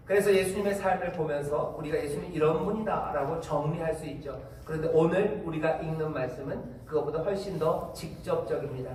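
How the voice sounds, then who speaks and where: A man with a Korean Incheon accent preaches in a church, talking to his congregation in a casual, chatty way. His voice sits a bit high. It comes from a distance in a reverberant sanctuary, with faint background noise. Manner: conversational preaching with fillers and asides. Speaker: pastor. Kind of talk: sermon. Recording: echoey hall, distant mic, faint noise.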